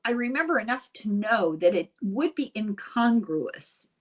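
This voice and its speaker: A woman's voice: mid-range voice, big pitch swings, unhurried. An older woman hosting a casual interview, asking inquisitive questions and wandering into asides as she talks. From Ireland. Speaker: host and interviewer.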